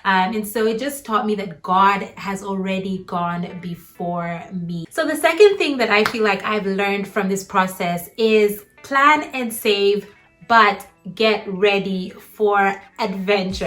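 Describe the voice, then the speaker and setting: An earnest young woman from Uganda speaks straight to her viewers at a medium pitch. She talks warmly, and small laughs slip in between her phrases. It sounds like a casual camera-mic recording made at home. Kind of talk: casual faith vlog.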